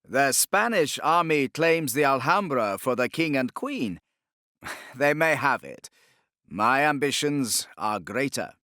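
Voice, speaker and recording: A man voicing a smooth, unbothered, menacing Spanish Grand Inquisitor in an audiobook, speaking slowly and deliberately with a commanding manner. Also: mid-range voice, animated, sharp tone, dry recording, clean studio sound, voice pushed up. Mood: commanding, triumphant.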